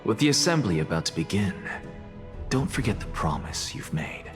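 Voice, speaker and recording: A man's voice: fairly low pitch, very animated, normal speed. An actor voicing an arrogant, self-sacrificing male scholar in a video game, theatrical, self-assured and dismissive. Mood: haughty, assured. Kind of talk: dramatic video game voice acting. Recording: long reverb, music underneath.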